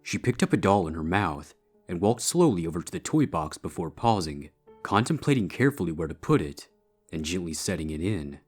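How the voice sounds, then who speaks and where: A man narrates a scripted story in a very low voice with a husky, rough edge. Music plays clearly underneath his reading. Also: big pitch swings, a little fast, a little mellow. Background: background music.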